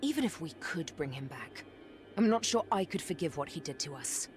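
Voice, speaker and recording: A woman's voice: low-pitched, big pitch swings, brisk. An actress playing a stern, bitter warrior woman in a fantasy video game, speaking tersely and solemnly. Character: stern, bitter, determined warrior woman, former Republican soldier. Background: game music.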